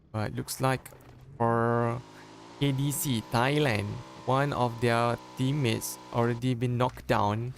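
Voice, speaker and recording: A man from Malaysia with a Malaysian accent, non-native English, giving halting play-by-play commentary for a mobile battle game, repeating and restarting phrases. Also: medium pitch, vocal fry, slight background noise. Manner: gets excited during fights. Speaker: esports caster.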